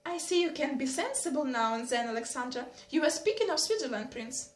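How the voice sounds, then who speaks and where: A high, exclamatory, bossy older noblewoman, as a woman reader puts her on, scolding her daughters with brisk authority. Heard in a roomy, echoing space from an audiobook. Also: expressive, faint music behind. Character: fussy, quick-tempered noblewoman, a mother of three daughters. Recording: roomy echo.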